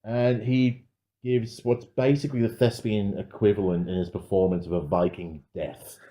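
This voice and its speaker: A man's voice: fairly low pitch, steady tone, normal speed, mellow. A man giving casual review commentary as a podcast panelist, with a wry, dry humour.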